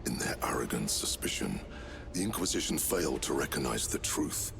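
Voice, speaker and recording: A very low-voiced commander of a warrior order, proud and fatherly. He speaks with ceremonial, solemn formality over cinematic music, as in a video game cutscene. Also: expressive, moderate pace, vocal fry, whispered, exaggerated voice. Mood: solemn, regretful. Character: stately male Chapter Master, commanding, proud, fatherly warlord.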